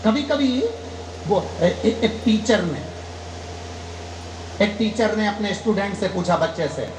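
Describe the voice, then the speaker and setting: A man's voice: expressive, slow, background noise. Man preaching a katha in an echoey hall. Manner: storytelling, acting out dialogue, rising emphatic pitch. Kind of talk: devotional katha sermon with anecdotes.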